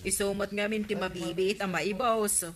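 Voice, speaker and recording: A woman playing a village councilwoman who keeps a small store, brisk and matter-of-fact, in a radio comedy drama. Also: medium pitch, steady tone, natural voice. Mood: chatty. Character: busy village councilwoman tending her small snack store.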